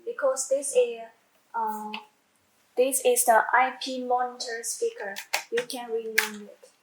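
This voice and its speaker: A woman who speaks English as a second language, giving a halting step-by-step software tutorial with repeats and self-corrections. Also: fairly high pitch, little pitch movement, background noise. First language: not English. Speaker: product tutorial presenter. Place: indoor room, demonstrating at a computer screen. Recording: echoey room sound.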